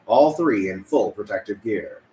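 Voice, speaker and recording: Male table-read narrator; animated pitch, scripted delivery, echoey recording. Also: a little slow. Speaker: narrator reading stage directions. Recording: echoey room, faint noise.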